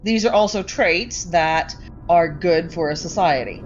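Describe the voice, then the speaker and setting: A woman's voice: on the low side, measured pace. A woman speaking casually as a co-host in a conversational discussion. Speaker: podcast co-host. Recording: dull lo-fi audio, music behind.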